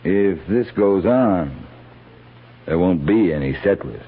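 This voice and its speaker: A man's voice: deep voice, expressive, slow, husky, narrow, tinny audio, exaggerated voice. An American man, middle-aged, playing a seasoned, stubborn cavalry captain with clipped, dry command, in 1950s radio western style. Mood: dry, measured. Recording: thin band-limited old radio recording.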